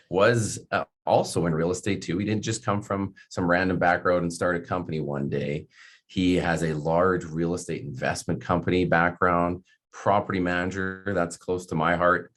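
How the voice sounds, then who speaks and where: Deep-voiced man hosting a podcast. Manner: rambling, many fillers and restarts. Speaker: podcast host. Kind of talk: casual interview chat.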